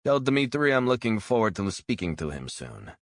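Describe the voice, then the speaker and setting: A man voicing a calm, confident operative. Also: fairly low pitch, moderate pace, no room sound, clean studio sound, pitched above the performer's own voice. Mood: assured. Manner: calm, assured.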